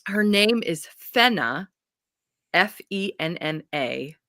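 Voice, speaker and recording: A woman co-hosting a casual book readalong, speaking in a gushing, effusive way and quick to laugh. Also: on the low side, expressive, sharp tone, no echo, studio quality.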